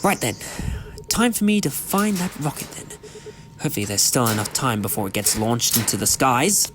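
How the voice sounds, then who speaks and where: An actor playing an eccentric, quick-witted male adventurer in a fan audio drama, in a jaunty theatrical manner. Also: mid-range voice, animated, noisy background, natural voice. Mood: jaunty, improvising.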